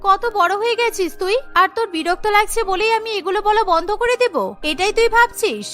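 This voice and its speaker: Woman voicing a very high-pitched protective cartoon big sister. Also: brisk, a bit bright, soft background music. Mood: hurt, caring. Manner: feisty, scolding, protective. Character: bold, protective young girl, caring bossy big sister. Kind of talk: cartoon dialogue acting.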